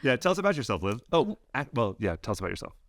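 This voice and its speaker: A man's voice: medium pitch, very animated, rapid-fire. A man co-hosting a podcast, bantering playfully, teasing his co-host and laughing often.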